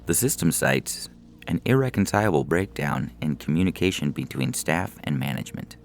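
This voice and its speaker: A man voicing a calm, officious starship computer with a formal, unflappable manner in a sci-fi comedy audio story. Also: a bass voice, normal speed, slight background noise.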